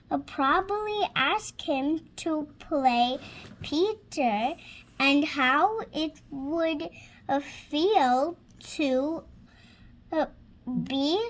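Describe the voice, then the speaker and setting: A six-year-old girl reading a children's storybook aloud, hesitant and sounding out words as she practices. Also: high-pitched. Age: child, six years old.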